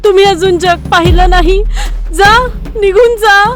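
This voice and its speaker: A woman voicing an anxious, self-sacrificing mother goat in an animated story, pleading and tender. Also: very high-pitched, a little slow, voice pushed up. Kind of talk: animated story character voice acting.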